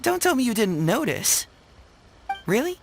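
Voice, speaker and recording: A man playing a witty, smooth-talking young detective in a video game, narrating theatrically with a knowing, gently teasing manner. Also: very high-pitched, big pitch swings, measured pace, slight background noise, full character voice. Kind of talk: scripted video game presenter and dialogue.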